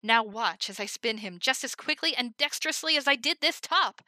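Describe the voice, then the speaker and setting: A woman voicing an exuberant young woman soldier who plays a booming showman for a crowd. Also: animated, moderate pace, sharp tone, dry recording. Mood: showy. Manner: booming, theatrical barker delivery.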